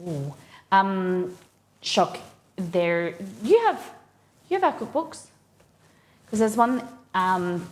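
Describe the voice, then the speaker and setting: A woman with an Australian accent, hosting a casual cooking live stream and chatting informally with viewers as she thinks aloud. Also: lowish voice.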